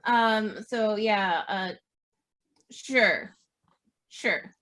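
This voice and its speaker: A woman's voice: mid-range voice, drawn-out words, fairly bright tone. A woman talking casually as a player in a tabletop game. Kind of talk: casual chat.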